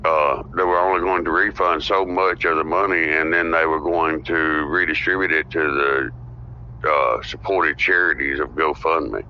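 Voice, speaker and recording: A man's voice: a bass voice, fairly even intonation, normal speed. A man talking as a podcast guest in casual political chat. He agrees readily and fills his talk with "you know". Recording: muffled lo-fi with faint noise.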